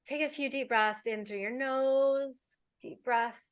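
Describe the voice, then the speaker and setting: A woman's voice: high voice, steady tone. Woman guiding a meditation. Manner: soothing, unhurried instruction with pauses. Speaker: guided meditation teacher. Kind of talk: guided meditation.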